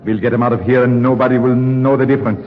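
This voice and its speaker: An actor playing a cold, bossy villainous scientist in a 1940s radio drama, with an impatient manner. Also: male voice, medium pitch, flat delivery, fast, lightly acted. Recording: muffled, echoing, noisy 1940s radio recording.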